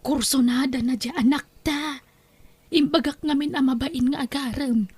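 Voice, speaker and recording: A woman plays a scheming mother in an Ilocano radio drama. Her voice is high and expressive, full of exclamations, and recorded dry and close. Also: normal speed, no room sound, natural voice. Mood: persuading. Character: scheming mother of a grown son, cheerful then scandalized.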